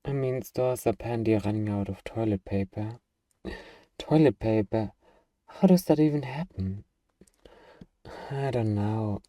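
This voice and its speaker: A man playing a gruff, defensive young zombie-apocalypse survivor with anxiety underneath, in an ASMR roleplay. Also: on the low side, measured pace, long pauses, dark tone, no room sound, studio quality, recorded from a distance, natural voice. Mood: anxious, hesitant.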